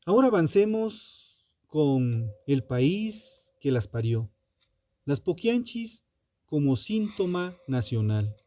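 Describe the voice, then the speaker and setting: A man reading a scripted true crime narration in a solemn, dramatic manner, in short phrases with emphatic pauses. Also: on the high side, very animated, warm tone.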